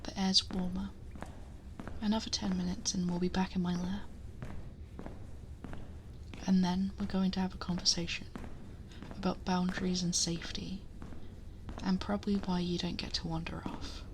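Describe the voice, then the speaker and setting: A woman playing a possessive, protective dragon girl in an intimate ASMR roleplay, speaking softly and breathily, often whispering, close to the microphone in a measured murmur. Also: fairly low pitch, little pitch movement, a little hiss or hum, natural voice. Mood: tender, reassuring. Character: possessive female dragon girl, protective, commanding yet tender. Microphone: close binaural ASMR microphone.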